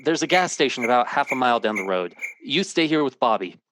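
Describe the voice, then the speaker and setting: An actor playing a frightened, protective husband and father in an old-time radio drama, urgent and halting. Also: mid-range voice, expressive, background noise, natural voice. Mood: worried.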